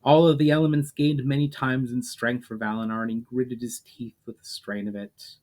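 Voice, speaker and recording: A man reading fantasy fiction aloud as a storyteller, in a dramatic audiobook style with measured phrasing that falls away at line ends. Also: medium pitch, flat delivery, a little mellow. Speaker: author reading own fiction aloud, channel host.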